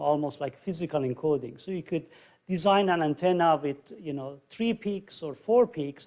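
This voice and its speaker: A man's voice: on the high side, a little mellow. A man on a panel answering audience questions, restating them and explaining technical answers step by step. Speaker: panelist and session moderator.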